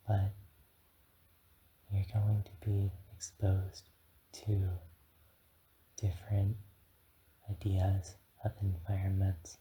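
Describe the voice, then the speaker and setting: A man playing a gentle, encouraging dragon guide in a whispered ASMR guided meditation, speaking haltingly and soothingly. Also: low-pitched, highs cut off, slight background noise. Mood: calm, welcoming.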